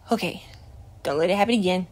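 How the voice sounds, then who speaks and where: A woman's voice: on the low side, moderate pace, close to an everyday voice. A woman voicing a jumpy, foul-mouthed teenage boy in an ASMR roleplay, swearing and being dramatic. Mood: panicky.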